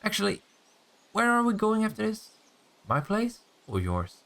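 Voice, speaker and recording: A man's voice: high-pitched, wildly swooping pitch, creaky, a bit bright, full character voice. Man playing a smug, teasing vampire, swooping intonation. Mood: exasperated. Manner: sardonic, teasing, swearing, drawn-out taunts. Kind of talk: intimate audio roleplay acting.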